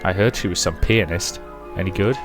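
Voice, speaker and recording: Man as a low, dismissive gossiping concert-goer. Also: fairly quick, music in the background, lightly acted. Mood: dismissive, leering.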